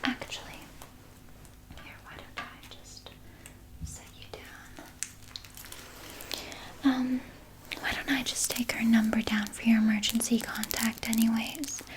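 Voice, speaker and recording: A woman playing a soothing, evasive vampire nurse in a soft, breathy ASMR whisper, gently coaxing and sweetly sinister. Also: a little high, flat delivery, slow pace, long pauses. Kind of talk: whispered ASMR personal attention roleplay.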